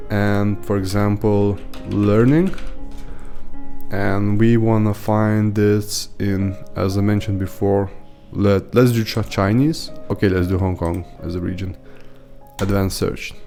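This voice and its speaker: Man, 30s, native Lithuanian speaker, rambling tutorial host, deep-ish voice, desk mic at a computer. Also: steady tone, takes it slowly, mellow, soft background music. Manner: chatty, rambling, rant-like. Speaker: YouTube host, team lead. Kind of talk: casual screen-share tutorial. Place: small home room, indoors.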